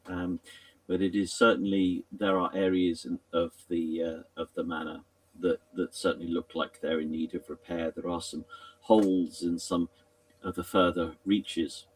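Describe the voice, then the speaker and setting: A man improvising narration as a tabletop game master in a halting, hesitant way, often pausing mid-sentence. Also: low-pitched, dry recording, far from the mic, poor audio.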